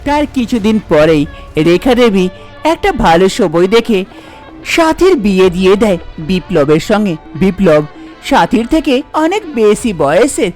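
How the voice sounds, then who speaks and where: A woman's voice: mid-range voice, sing-song, measured pace, a little mellow, faint music behind. A woman narrating a scripted cartoon story as its storyteller.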